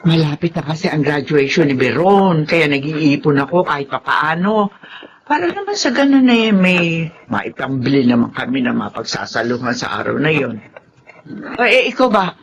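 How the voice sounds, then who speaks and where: A woman's voice: low-pitched, moderate pace. An actress playing a chatty, friendly balut vendor and mother in a Filipino radio drama. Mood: warm, encouraging. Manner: chatty, animated, folksy. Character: friendly, grateful balut vendor mother pushing her cart.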